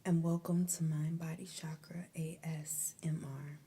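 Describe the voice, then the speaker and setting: Female ASMR meditation host, breathy whisper, very mellow and dark, unhurried pace, soothing. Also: sits low, little pitch movement. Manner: soothing, deliberate, with pauses between phrases.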